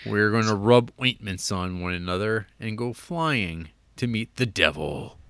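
A man's voice: lowish voice, lively intonation, a little slow, a bit bright. A man with a Canadian accent co-hosting a podcast in casual chat, often stammering and restarting, with a wry tone. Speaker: podcast co-host.

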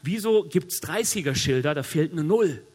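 A middle-aged man with a cold, preaching a sermon in a lively, conversational way with joking asides. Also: on the high side, moderate pace, slightly rough. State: has a cold. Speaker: pastor. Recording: long hall reverb.

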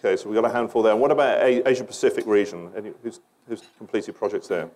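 British guy opening a conference panel, lowish voice, informal, kinda hesitant. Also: quick pace, a little mellow, slight background noise. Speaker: panel moderator.

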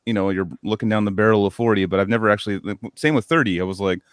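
A man in his thirties co-hosting a podcast, talking in an animated way with lots of swearing. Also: on the low side, very fast, dry recording, clean studio sound. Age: 30s. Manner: animated, swearing, tells stories. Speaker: podcast host. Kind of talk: casual chat.

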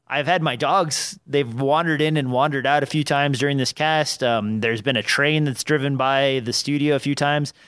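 A man's voice: medium pitch, fairly even intonation, moderate pace, few pauses, a bit bright, clear, resonant tone, no echo. Nasal-voiced man hosting a podcast. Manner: rambling, with many fillers and restarts. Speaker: podcast host. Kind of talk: casual podcast chat. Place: small home studio. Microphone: studio dynamic microphone on an arm.

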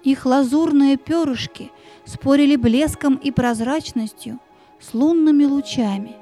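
Mellow, high-voiced woman narrating a fairy tale. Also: a little slow, soft background music. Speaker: narrator of an audio play.

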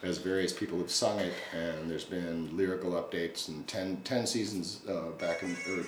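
A man's voice: low-pitched, steady tone, normal speed, soft background music. A man talking casually on a podcast, telling rambling stories with many fillers. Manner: rambling, chatty storyteller. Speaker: guest musician. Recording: echoey room with faint noise.

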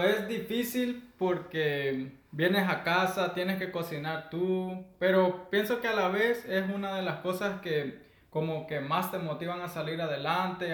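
A man with a Salvadoran accent talks in a slightly raised voice, earnest and reflective, laughing easily as he chats with a friend. The room around him rings with a noticeable echo. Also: high-pitched. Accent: Salvadoran Spanish. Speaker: podcast co-host. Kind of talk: casual chat.

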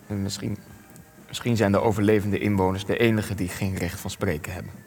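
An actor playing a weary, defensive elderly war veteran in a Dutch radio play, speaking deliberately with hesitations and rhetorical turns. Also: male voice, lowish voice, fairly even intonation, a little mellow, soft background music, close to an everyday voice. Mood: defensive, evasive. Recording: long reverberant hall.

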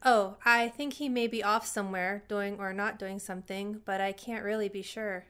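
A woman's voice: mid-range voice, steady tone, moderate pace, fairly bright tone, clean tone, soft background music, lightly acted. A woman playing a meek, agreeable sidekick schoolgirl in a comic radio play. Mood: meek, flat.

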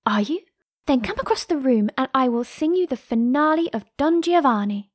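A woman's voice: high voice, animated, moderate pace, no echo, clean studio sound. A woman playing a coquettish young lady in a classic novel reading, playful, arch and sweetly mocking. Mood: teasing.

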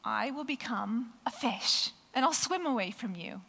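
A hoarse woman voicing a playful little boy bunny from a storybook, in a sing-song read-aloud voice. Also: on the high side, animated, a little slow, pushed and bright, big-room sound, heavily acted. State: croaky, hoarse voice. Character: runaway little male bunny, playful child.

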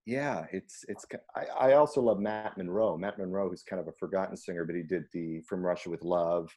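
A man with a very low, mellow baritone talks loosely about music. He rambles with frequent ums and breaks into easy laughs, relaxed and conversational. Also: brisk. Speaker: singer. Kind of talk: casual conversation.

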